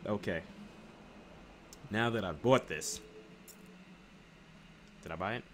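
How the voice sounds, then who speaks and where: A young American man with a deep voice chats casually through a video game. He rambles in slang, thinking out loud, over clear background noise and car game sounds. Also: takes it slowly. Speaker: gaming streamer. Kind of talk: casual let's play commentary. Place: room at home. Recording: clearly noisy home webcam stream.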